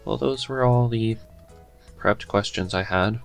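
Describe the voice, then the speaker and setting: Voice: medium pitch, measured pace, creaky, soft background music. A podcast host chatting casually in an interview. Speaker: podcast host and script writer.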